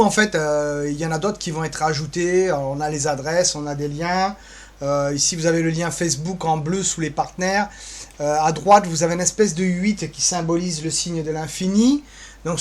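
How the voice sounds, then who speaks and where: Rambling, playful man presenting. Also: on the high side. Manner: rambling, hesitant, playful asides. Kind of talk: informal screen-scrolling website walkthrough.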